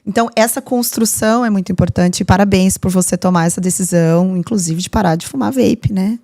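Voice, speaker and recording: A woman's voice: medium pitch, fairly quick, gravelly. Woman doctor hosting an interview. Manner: warm, explanatory, uses vivid comparisons. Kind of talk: interview host, advising.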